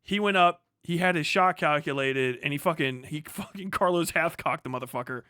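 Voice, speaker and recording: A man's voice: fairly high pitch, fairly quick, sharp tone, clear, resonant tone, no room sound, clean studio sound. A middle-aged man giving casual, rambling reaction commentary as a livestream host, full of slang and swearing. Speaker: livestream host, former Marine.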